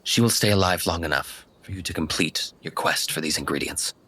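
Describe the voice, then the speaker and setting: A man's voice: deep voice, brisk, sharp tone, husky, heavily acted. A man voicing a stern but caring woman warrior mentor in a tabletop game, with commanding, solemn encouragement.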